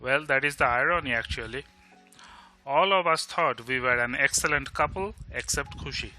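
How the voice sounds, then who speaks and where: A man's voice: medium pitch, a little fast, sharp tone, soft background music, rough recording. A man with an Indian Bengali accent playing a blunt, sardonic but loyal bachelor friend in an audio drama, with emphatic delivery. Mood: rueful. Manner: sarcastic, emphatic, switches between English and Bengali. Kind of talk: amateur audio drama acting.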